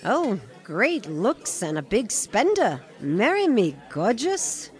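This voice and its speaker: A woman's voice: on the high side, wildly swooping pitch, slow, soft background music, heavily acted. A woman voicing a flirty, sassy diner waitress with a teasing manner in a 1920s audio drama.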